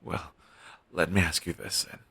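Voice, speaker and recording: A man playing a pessimistic zombie best friend with dark sarcasm, speaking haltingly in an intimate audio roleplay. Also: deep voice, fairly even intonation, vocal fry, slightly rough, no room sound, exaggerated voice. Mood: wary, self-loathing. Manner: halting, sighing, sardonic delivery with trailing hesitations.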